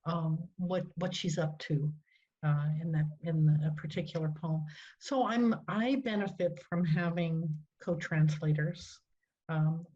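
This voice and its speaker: Older woman, poet guest, thoughtful hesitant speech, lowish voice, headset mic, muffled call audio. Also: mellow. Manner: hesitant, many fillers, thoughtful. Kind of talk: video call conversation. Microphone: headset on video call.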